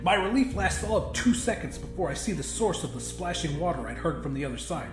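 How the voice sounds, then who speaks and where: A man narrates a horror tale in a high-pitched voice. His delivery is dramatic and intense, told in the first person. The recording has a roomy echo, with music clearly playing behind him. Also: big pitch swings, a little fast. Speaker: audiobook narrator.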